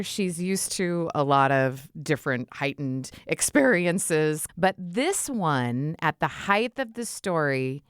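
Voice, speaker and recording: A woman voice actor reflecting personally on her role, thoughtful and expressive. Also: sits low, no room sound. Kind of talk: behind-the-scenes personal reflection.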